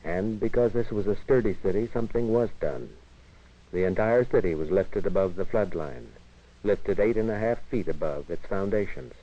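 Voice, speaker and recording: An American man narrating a 1950s educational film in a measured, formal, literary manner. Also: deep voice. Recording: dull 1958 film soundtrack, radio-like.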